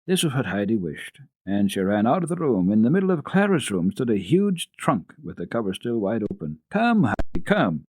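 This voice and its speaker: Male children's storyteller: lowish husky voice, very animated, careful dramatic narration. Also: a little fast, few pauses, no echo. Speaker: storyteller and radio host. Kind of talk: dramatic reading of children's classic literature.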